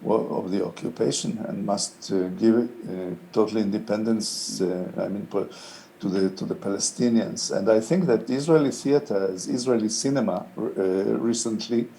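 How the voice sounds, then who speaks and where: Low, mellow-voiced older man, halting. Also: a little hiss or hum, rough recording. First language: Hebrew. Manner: thoughtful, halting, many "uh" fillers. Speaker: interviewed playwright.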